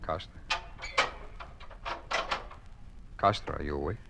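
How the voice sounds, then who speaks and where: A man's voice: a bass voice, very fast, gravelly, fairly bright tone, heavily acted. An actor playing an uneasy, dutiful justice minister in an old-time radio drama, clipped and hesitant in his manner. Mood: businesslike. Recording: noisy old radio recording.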